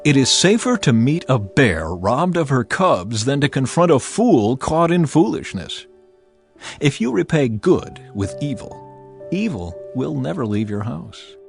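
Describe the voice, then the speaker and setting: Expressive man reading scripture over music. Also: mid-range voice, measured pace, creaky. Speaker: audio Bible narrator.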